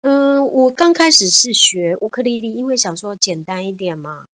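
A woman's voice: a little high, animated, a little fast, no room sound. A woman in her fifties chatting cheerfully as an interview guest, laughing often and repeating words. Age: 50s. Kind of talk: casual interview chat.

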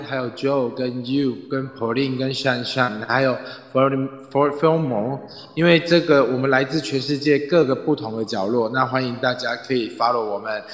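A man's voice: mid-range voice, fairly bright tone. A man hosting an online audio club, speaking casually as he gives closing thanks and introductions. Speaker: club president and host. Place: online group audio chat room. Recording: roomy, reverberant online voice-chat audio.

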